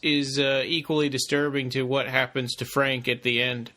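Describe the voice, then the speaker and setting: A man's voice: medium pitch, flat delivery, normal speed, bright, forceful tone. A man co-hosting a podcast, thinking aloud in a tentative, casual way. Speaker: podcast co-host. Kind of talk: casual discussion.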